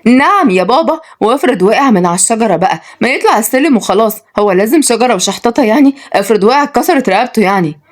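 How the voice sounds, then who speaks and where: A woman's voice: fairly high pitch, expressive, very fast, pitched above the performer's own voice. A woman voicing a haughty, indignant young Egyptian woman in a story, sassy and argumentative. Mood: annoyed.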